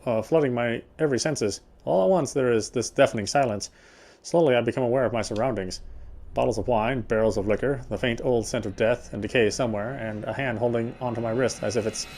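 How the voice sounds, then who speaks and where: A man's voice: medium pitch, a little mellow. A man reading a game's story narration aloud in a dramatic storytelling style.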